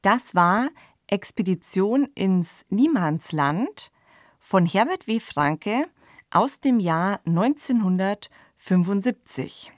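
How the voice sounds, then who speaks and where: A woman hosting a radio podcast, presenting in a measured, informative way. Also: mid-range voice, lively intonation, no echo, clean studio sound. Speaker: podcast host. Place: dry studio.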